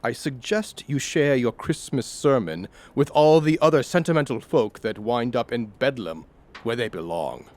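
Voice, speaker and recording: A man's voice: medium pitch, lively intonation, moderate pace, a little mellow, no room sound, lightly acted. A man playing a bitter old miserly uncle in an audio drama, curt, sneering and sarcastic. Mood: cold, sarcastic.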